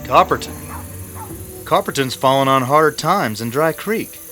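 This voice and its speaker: An actor playing a bitter, disgruntled captive gambler with an in an old-time radio drama. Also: male voice, medium pitch, lively intonation, measured pace, pushed and bright, soft background music. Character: bitter, disgruntled male gambler held prisoner, a father.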